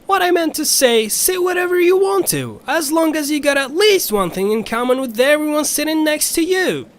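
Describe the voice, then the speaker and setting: High-pitched, sly ferry captain. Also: male voice, animated, moderate pace, a little hiss or hum. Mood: teasing. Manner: drawling, flowery, theatrical. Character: folksy, sly male riverboat ferry captain and trader.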